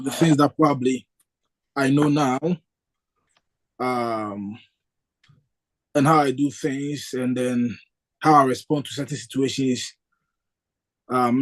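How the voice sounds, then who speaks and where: A man's voice: medium pitch, poor audio. A man from Ghana taking part in a spiritual discussion over a video call, thoughtful and hesitant, with frequent fillers. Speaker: discussion participant.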